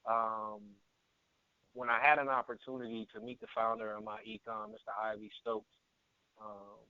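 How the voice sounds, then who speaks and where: Male conference-call guest, earnest and halting, conversational testimonial, thin dry phone-line sound. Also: mid-range voice, big pitch swings, creaky, no echo. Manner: earnest, halting, repeats words. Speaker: guest, network marketer and area manager. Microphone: telephone conference call. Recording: tinny phone line, narrow band.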